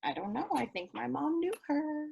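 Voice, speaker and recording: Woman acting awkward naive slacker, high voice, very lively intonation, casual hesitant delivery, stage play reading. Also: normal speed, creaky, heavily acted. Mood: flippant. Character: awkward, flippant young person, placating and naive.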